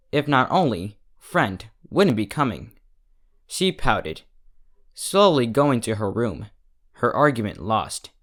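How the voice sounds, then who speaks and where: Slow, expressive story narrator. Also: medium pitch. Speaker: fan fiction reader, narrator.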